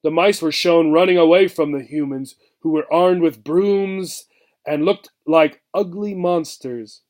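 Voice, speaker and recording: A man narrating a children's story aloud in an expressive read-aloud style. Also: fairly high pitch, unhurried. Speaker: reader, teacher.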